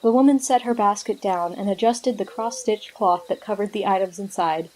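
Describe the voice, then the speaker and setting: A woman's voice: mid-range voice, moderate pace, darkish tone, slight background noise. A woman reading scripted story narration as the narrator of an audio drama.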